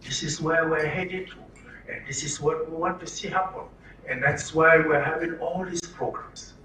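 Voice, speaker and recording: A Nigerian man presents to a room in a bright, forceful voice, fairly high for a man. He is emphatic and likes to ask questions and answer them himself. The recording is roomy, with the mic some way off and faint noise. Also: steady tone, normal speed, far from the mic. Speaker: presenter at a health orientation for media. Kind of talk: interactive public talk. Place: room with an audience.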